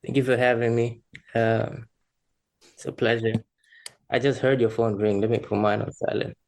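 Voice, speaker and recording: A man from Rwanda talks casually as a podcast guest, with plenty of vocal fry in a mid-pitched voice. He is candid about himself and quick to poke fun at his own habits. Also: level tone, a little mellow. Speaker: podcast guest, freelance creative. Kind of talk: casual personal chat.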